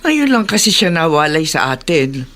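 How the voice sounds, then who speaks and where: A woman's voice: low voice, very animated, moderate pace, a bit bright. An actress with a Filipino accent playing an anxious, fretful mother in a radio drama, worried and pleading. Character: anxious, fretful mother waiting for word of her child.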